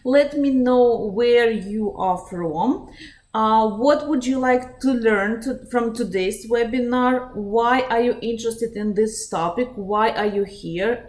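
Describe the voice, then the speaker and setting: A woman in her thirties from Russia with a Russian accent, hosting a live online psychology webinar in an earnest, direct way, with frequent "uh" fillers. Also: a little high.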